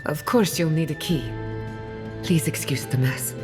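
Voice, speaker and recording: Low voiced wry scholar lady, confident n measured, game dialogue w music behind. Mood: businesslike. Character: driven, wry female scholar, guarded about family loss. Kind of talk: video game voice acting.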